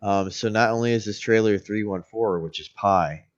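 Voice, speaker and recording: A man in his late thirties co-hosting a casual chat. He talks haltingly, with many "um"s and restarts. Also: fairly low pitch, dull audio. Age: 30s. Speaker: podcast co-host.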